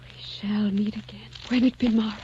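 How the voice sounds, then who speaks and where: A woman's voice: mid-range voice, little pitch movement, moderate pace, close to an everyday voice. Tender, wistful woman in dull vintage radio melodrama, played by an actress. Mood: hushed farewell. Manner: soft, romantic, wistful melodrama. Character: tender, devoted young woman in a doomed love affair. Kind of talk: 1940s radio drama acting. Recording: dull old radio recording, soft music behind.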